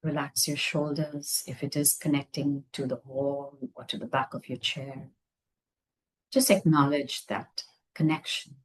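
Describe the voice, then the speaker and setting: Low, husky woman guiding meditation. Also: level tone, low-quality recording. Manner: gentle, unhurried phrases with long pauses. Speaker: meditation guide. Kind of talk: guided meditation, slow soothing instruction.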